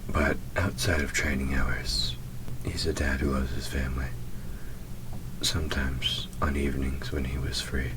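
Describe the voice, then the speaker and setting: A man voicing a centuries-old demon in an intimate audio roleplay, proud and gruff but tender, murmuring slowly and deliberately, often close to a whisper. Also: rumbling low, level tone, noisy background. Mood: wistful, tender.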